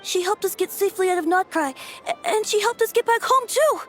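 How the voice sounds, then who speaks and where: Very high-voiced, hesitant small child. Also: female voice, lively intonation, fairly quick, faint music behind. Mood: shy, admiring. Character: shy, earnest, admiring small child fan. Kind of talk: video game voice acting.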